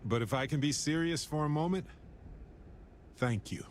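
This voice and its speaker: A man playing a warm, sincere middle-aged space explorer in a video game, speaking in a measured, wistful way. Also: a little high, expressive, background noise, natural voice. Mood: playful, grateful. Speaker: video game character actor. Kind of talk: dramatic video game dialogue.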